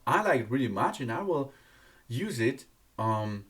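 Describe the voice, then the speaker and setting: Non-native man with swooping, lively pitch. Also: measured pace. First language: not English. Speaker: product reviewer. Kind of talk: casual unboxing review. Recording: distant microphone.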